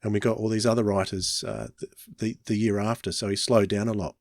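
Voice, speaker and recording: A man's voice: lowish voice, level tone, brisk, gravelly, a little mellow, dry recording, clean studio sound. An Australian man hosting a podcast in casual conversation, thinking aloud as he talks. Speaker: podcast host. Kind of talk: casual chat.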